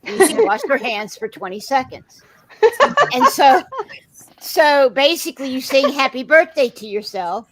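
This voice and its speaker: A woman's voice: sits high, animated, unhurried, low-quality recording. A woman chatting casually on a live stream, laughing often and talking about singing karaoke. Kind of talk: casual chat.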